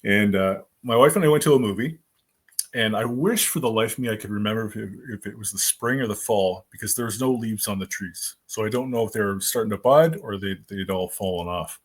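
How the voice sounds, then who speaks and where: A man in his fifties, a guest author, telling his stories in a rambling, animated way with lots of fillers. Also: on the low side, brisk, husky. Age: 50s. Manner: animated, rambling storyteller with many fillers and restarts. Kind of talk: casual storytelling in a podcast interview.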